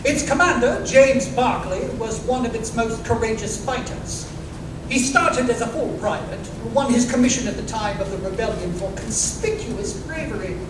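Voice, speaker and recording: A man playing a keen, self-assured detective in old-time radio style, speaking in a brisk, precise, knowing way. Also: extremely high pitch, animated. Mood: businesslike. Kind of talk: 1930s radio drama acting. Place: museum live performance space. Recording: very echoey room, distant mic, noisy.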